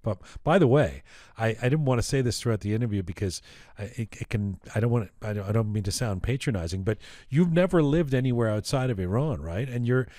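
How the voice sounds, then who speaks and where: A man's voice: medium pitch, expressive, fast talker, no room sound, clean studio sound. A man hosting a podcast, asking questions in an effusive, chatty way and often repeating his words. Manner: effusive, warm praise, repeats himself. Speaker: podcast host, interviewer. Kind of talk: conversational interview.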